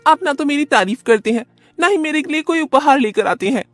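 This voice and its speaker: A woman's voice: high-pitched, lively intonation, fairly quick, no echo, faint music behind, voice pushed up. A woman voicing a vain, greedy young wife in a storybook cartoon, complaining and full of self-pity. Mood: whiny, demanding.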